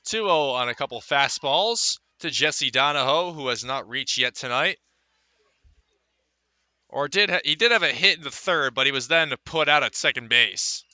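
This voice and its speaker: Bright, forceful male baseball announcer. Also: mid-range voice, animated, normal speed, dry recording. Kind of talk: live radio sports commentary.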